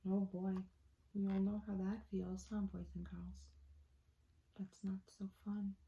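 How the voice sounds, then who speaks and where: A woman teacher reading a storybook aloud to children, expressively, with quiet asides. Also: medium pitch, little pitch movement, unhurried, highs cut off, slight background noise. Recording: echoey room.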